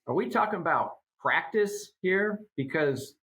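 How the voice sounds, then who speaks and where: A man's voice: high voice, animated, unhurried, a bit bright, low-quality recording. A man in his fifties co-hosting a trade podcast, talking through news in a rambling, conversational way. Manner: rambling, conversational, many fillers. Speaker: co-host. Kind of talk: casual podcast chat.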